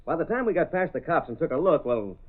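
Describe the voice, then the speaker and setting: An actor playing an eager, slangy, cocky young reporter in an old radio drama. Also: male voice, fairly high pitch, very animated, quick pace, husky. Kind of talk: 1930s-40s radio drama acting. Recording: tinny, band-limited old radio recording.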